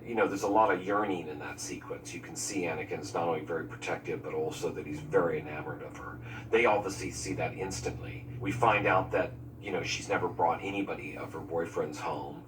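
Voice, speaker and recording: A man's voice: low-pitched, brisk, hardly any pauses, distant mic, background noise. A man giving candid, opinionated commentary on film editing in a behind-the-scenes interview. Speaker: film crew member discussing editing.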